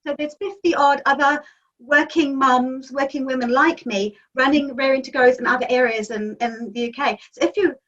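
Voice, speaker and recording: A woman's voice: sits high, steady tone, normal speed, a bit bright. A woman, a magazine editor chatting enthusiastically in an interview, with lots of ums. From New Zealand. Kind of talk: interview answers. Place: home office. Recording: distant, dull lo-fi call audio.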